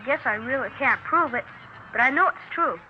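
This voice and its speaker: A woman's voice: high-pitched, lively intonation, normal speed. A woman playing an earnest, streetwise seven-year-old American boy, plain-spoken and insistent, in a 1950s radio drama. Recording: muffled, hissy 1950s radio recording.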